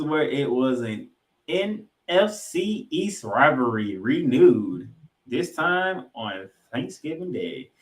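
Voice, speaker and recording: Echoey man recapping sports emphatically. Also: fairly high pitch. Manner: emphatic delivery, repeating points for effect. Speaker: sports podcast host. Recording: roomy, echoing room sound.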